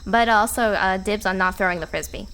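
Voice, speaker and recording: A woman's voice: medium pitch, brisk, fairly bright tone, clean tone, close to an everyday voice. A woman playing a nervous, wry visitor in an audio drama, rambling and hesitant. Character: nervous, wry human woman on a park tour. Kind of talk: fiction podcast audio drama acting.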